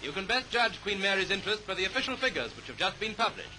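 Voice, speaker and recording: High-voiced 1930s newsreel narrator. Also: male voice, very animated, fast, pushed and bright, a little hiss or hum, low-quality recording. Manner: clipped, declamatory, upbeat. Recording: old 1930s newsreel soundtrack with music. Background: orchestral music.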